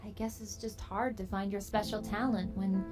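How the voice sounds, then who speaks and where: A gentle, kindly cartoon pony voiced by a woman. Her pitch is medium and natural. Clear music plays underneath. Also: little pitch movement, normal speed, close to an everyday voice. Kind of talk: animated cartoon fan-series voice acting. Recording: background music under the dialogue.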